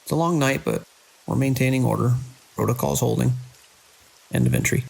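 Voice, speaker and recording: An actor playing a controlling male hospital director in an audio drama, brisk and dismissively reassuring as a crisis grows. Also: mid-range voice, vocal fry, breathy edge, rough recording. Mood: composed, official.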